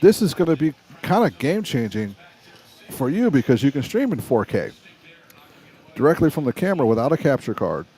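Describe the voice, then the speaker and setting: Raspy middle-aged man, chatty stream host. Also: mid-range voice, sing-song, brisk, mellow. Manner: chatty, rambling, restarts often. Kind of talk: casual live stream talk.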